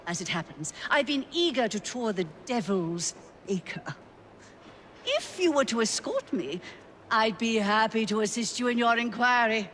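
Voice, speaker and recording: An actress playing a genteel, imperious Victorian politician's wife with an upper-class English accent, in a prim, brisk manner. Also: female voice, a little high, lively intonation, fairly bright tone, slight background noise. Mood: polite, assured. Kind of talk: video game cutscene acting.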